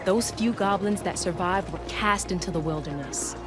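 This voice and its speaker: A woman's voice: lowish voice, moderate pace, lightly acted. A woman playing a composed, knowledgeable adventurer who tells lore in a video game cutscene. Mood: solemn, storytelling. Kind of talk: video game cutscene acting, dramatic narration. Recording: music behind the voice.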